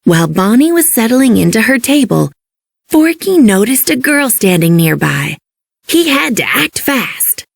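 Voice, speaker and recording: A woman reading a children's storybook as an expressive narrator. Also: fairly high pitch, measured pace, vocal fry, no echo, studio quality.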